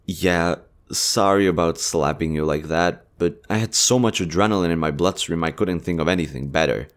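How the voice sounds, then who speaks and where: Guy acting as a smitten army general, really low voice, gentle and earnest, romance roleplay audio. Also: moderate pace, close to an everyday voice. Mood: earnest, reassuring. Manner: tender, earnest, hesitant phrasing. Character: smitten male army general, gentle, strategic, tender lover. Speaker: voice actor.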